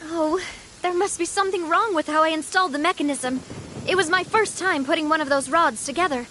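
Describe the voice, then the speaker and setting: A warm, good-natured young noblewoman who laughs easily and speaks in a gentle, thoughtful way. Her voice sits high and bright. Faint room ambience hums in the game audio behind her. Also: fairly quick, background noise. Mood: lively. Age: young adult. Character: cheerful young noblewoman, gang leader, warm. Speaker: leader of a group. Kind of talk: video game voice acting.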